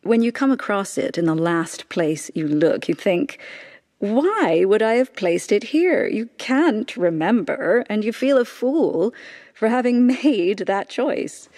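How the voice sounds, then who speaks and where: A woman's voice: mid-range voice, expressive, measured pace, darkish tone. Intense, devout mother in anguish. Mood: wistful, reflective. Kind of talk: radio drama acting.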